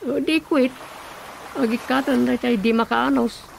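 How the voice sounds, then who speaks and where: A man playing a defensive, long-suffering village councilor in a radio drama, with a creaky voice and an Ilocano accent. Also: very high voice, a little slow, slight background noise, heavily acted, rough recording. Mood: fed up. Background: loud music.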